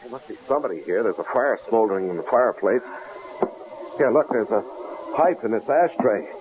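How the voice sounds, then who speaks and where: A man's voice: fairly low pitch, expressive, brisk, a little mellow, close to an everyday voice. Man acting a fretful husband, dull noisy recording. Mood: fretful, insistent. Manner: hesitant, stammering, fretful. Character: nervous, cautious husband caught in a blizzard. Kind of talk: 1970s radio drama acting. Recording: dull, noisy old radio broadcast recording.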